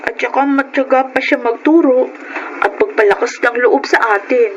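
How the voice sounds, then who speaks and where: Actress as friendly schoolgirl: Filipino, fairly high voice, radio drama acting, faint background noise. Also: moderate pace, lo-fi sound, lightly acted. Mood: curious, fond. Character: friendly, admiring young female student.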